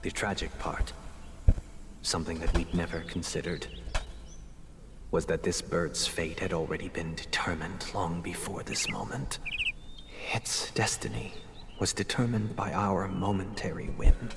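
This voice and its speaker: An actor playing a composed, eloquent young man in a game cutscene, speaking in a measured, formal, softly persuasive way. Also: low voice, steady tone, vocal fry, very noisy. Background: loud game soundtrack music.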